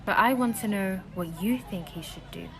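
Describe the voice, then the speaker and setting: A woman's voice: mid-range voice, normal speed, close to an everyday voice. A woman playing a knowing, secretly scheming young guide in an audio drama, with a probing, insistent manner.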